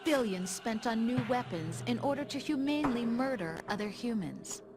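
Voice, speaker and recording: A slightly high female voice plays a coolly patronizing artificial mind, acted by a woman in a video game. She lectures calmly and condescendingly over music. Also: moderate pace, close to an everyday voice. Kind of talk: video game cutscene acting.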